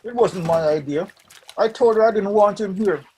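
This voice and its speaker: A woman's voice: medium pitch, normal speed, slightly rough, natural voice. A woman voicing a weary, resigned older father in a radio drama. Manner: calm and resigned.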